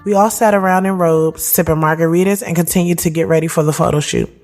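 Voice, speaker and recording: A woman's voice: fairly low pitch, steady tone, fast talker, lightly acted. A woman acting as a reflective thirty-year-old entrepreneur who tells her own story in a confiding, conversational, slangy way. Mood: fond, reflective. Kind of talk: first-person scripted audio drama narration. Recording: loud music bed behind the voice.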